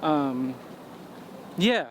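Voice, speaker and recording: A man from the Philippines with a slightly high voice chats loosely in an interview, filling his sentences with "you know" and "like". He is recorded outdoors on a city street, and clear traffic noise runs behind him. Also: very slow, low-quality recording. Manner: relaxed, many fillers like "you know". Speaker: interviewee, musician. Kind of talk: casual interview.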